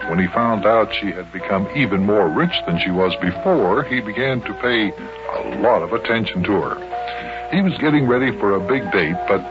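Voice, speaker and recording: Deep muffled American radio storyteller man. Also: lively intonation, normal speed, lightly acted. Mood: storytelling. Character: Big Jon, patient fatherly grown-up storyteller and companion. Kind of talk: 1950s children's radio narration and acting. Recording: muffled old radio recording, music behind.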